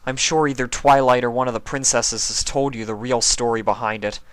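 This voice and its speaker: A man reading a fanfic aloud and voicing a heartbroken, bitter young male pony in a loud, angry way. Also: medium pitch, fairly even intonation, fairly quick, smooth, no echo, voice pushed up, close to an everyday voice.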